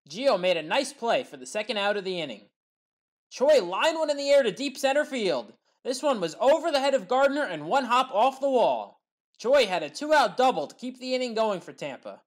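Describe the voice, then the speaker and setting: A woman delivering scripted sports highlight commentary in recap style, punching up the big plays.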